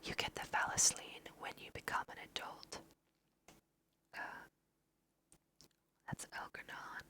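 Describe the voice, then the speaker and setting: A woman's voice: takes it slowly, pushed and bright. A woman whispering a casual, musing ASMR let's play, talking to her viewers as she goes. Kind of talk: whispered ASMR game commentary.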